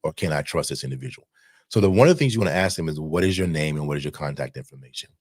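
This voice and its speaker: A man's voice: sits low, dry recording, studio quality. A man speaking as an insurance broker in an informal advisory talk, emphatic, repeating key phrases and checking in with the listener. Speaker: insurance broker giving advice.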